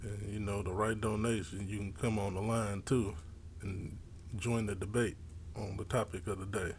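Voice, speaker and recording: A man's voice: lowish voice, little pitch movement, normal speed, a little hiss or hum. A man hosting a casual sports talk show, brash and boastful, swearing freely as he talks.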